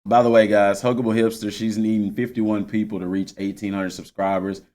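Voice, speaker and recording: A man's voice: on the low side, little pitch movement, a little fast, darkish tone. Casual guy doing gaming commentary. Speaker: video game channel host.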